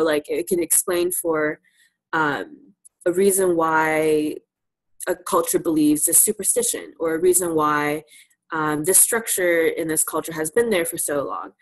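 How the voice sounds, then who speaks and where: Lower-pitched woman leading an informal lesson. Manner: encouraging, affirms answers. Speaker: presenter teaching a class. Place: live virtual presentation.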